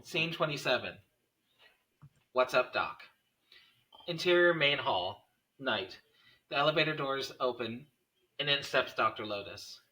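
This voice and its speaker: A man's voice: medium pitch, lively intonation, measured pace, pauses often, sharp tone. A man narrating scene headings and stage directions at a screenplay table read.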